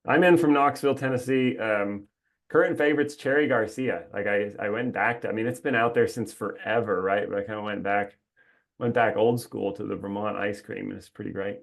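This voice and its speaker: A man's voice: lowish voice, fairly even intonation, brisk, vocal fry. A man hosting a webinar in a casual, chatty way, upbeat and rambling, laughing often, heard through a video call mic. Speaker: webinar host.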